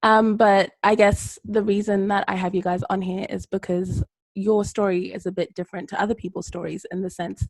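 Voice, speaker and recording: A woman's voice: on the low side, darkish tone, dry recording. A woman hosting a podcast, upbeat and cheering on her guests in casual chat. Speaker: podcast host.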